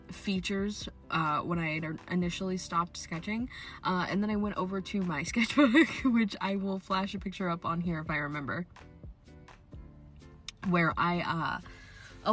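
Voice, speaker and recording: A young woman chatting casually as she hosts her art channel, rambling and laughing often, a little raspy from a cold. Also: on the low side, a bit bright. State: coming down with a cold, a bit raspy. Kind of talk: casual chat over a speed paint.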